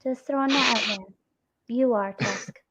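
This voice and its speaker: A woman's voice: fairly high pitch, a little slow, a loud crowd. A woman hosting a livestream, chatting casually, giggling and teasing her viewers. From Scotland. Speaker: stream host.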